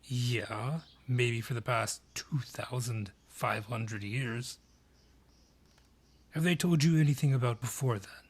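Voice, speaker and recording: A man voices a menacing male dryad, lord of his forest, in a fantasy audio drama. The voice is husky and breathy, unhurried and deliberate, dripping with mockery and condescension. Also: mid-range voice. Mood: grave, scornful. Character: haughty, sly male dryad ruling a forest, menacing and mocking. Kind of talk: fantasy audio roleplay acting.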